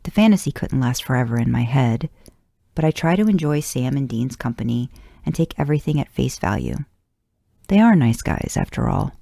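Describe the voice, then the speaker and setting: A woman narrates a story in the first person. Her voice sits low and mellow, with a creaky edge to it. The sound is dry, with very little room. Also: sing-song, moderate pace, no room sound. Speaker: podcast host reading fan fiction aloud.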